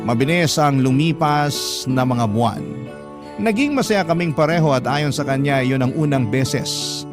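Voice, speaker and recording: Casting: male actor as devoted letter writer narrating his romance, mid-pitched, sentimental pauses, loud guitar bed. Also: lively intonation, natural voice. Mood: happy, sentimental. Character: devoted man recounting his love story as a letter writer. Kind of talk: dramatic first-person radio narration. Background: prominent plucked guitar music.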